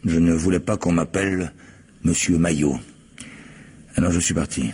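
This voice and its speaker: A man playing a proud, modest physicist, an estranged husband answering questions in a measured, hesitant way in a French radio crime drama. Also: sits low, fairly even intonation, darkish tone, breathy edge. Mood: wounded pride.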